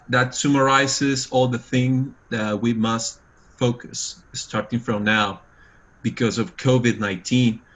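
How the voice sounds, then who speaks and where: A man's voice: medium pitch, a bit bright. A man from El Salvador, a course participant, earnestly thanking the lecturer on a video call.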